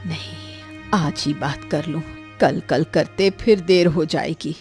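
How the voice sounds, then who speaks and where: A woman playing a careworn old grandmother in an animated story, scolding and lamenting but loving. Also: deep voice, takes it slowly, soft background music. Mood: weary, worried. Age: older. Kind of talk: Hindi animated story dialogue.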